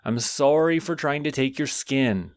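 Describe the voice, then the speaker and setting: A man's voice: mid-range voice, measured pace, close to an everyday voice. A man playing a lonely, awkward skeleton. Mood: sheepish. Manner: rambling, sheepish, over-apologetic. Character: friendly awkward male skeleton, lonely and eager. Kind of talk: audio roleplay to a listener.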